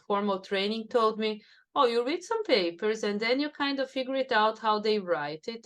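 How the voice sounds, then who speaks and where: A woman from Brazil with a Brazilian Portuguese accent, talking reflectively as a professor guest in an interview, often saying "you know". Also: mid-range voice. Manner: thoughtful, with frequent "you know" fillers. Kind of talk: conversational interview.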